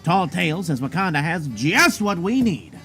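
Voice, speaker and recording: A man's voice: a little high, very animated, moderate pace, pushed and bright, soft background music, exaggerated voice. An actor playing a glib, cocky inventor and wheeler-dealer in an audio drama, with a salesman's patter.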